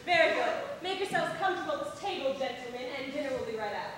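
Woman as a high-voiced obliging innkeeper on stage. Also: lively intonation, fast talker, fairly bright tone. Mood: businesslike. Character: suggestive, obliging innkeeper proud of the cooking. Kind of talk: live stage farce acting. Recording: echoey hall, distant, audience noise.

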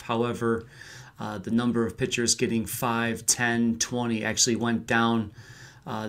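A man's voice: medium pitch, fairly bright tone. A man giving conversational sports analysis as a podcast guest.

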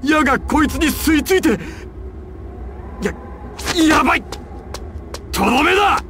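A man voicing a ruthless, imperious crime boss in a dramatic anime video game, theatrical, menacing and deliberate. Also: very animated, slight background noise, full character voice. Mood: alarmed, angry, then furious, domineering. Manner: theatrical, menacing, deliberate, swinging from low murmur to shouts. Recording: loud music over game voice clips. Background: loud game music.

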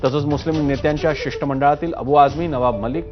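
A man's voice: on the high side, fairly even intonation, fast. A man working as a news anchor, reading scripted news narration. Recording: muffled broadcast audio with music bed. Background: news music.

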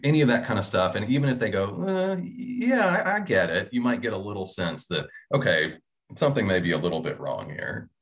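A man's voice: on the low side, big pitch swings, brisk. A man giving casual advice as a panelist on a video call. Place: indoor room, on a video call. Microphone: video call microphone.

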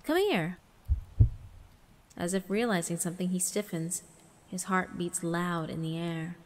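A woman giving a dramatic first-person narration of a visual novel, theatrical and hushed, with deliberate pauses. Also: lowish voice, fairly even intonation. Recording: long reverb, music underneath. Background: background music.

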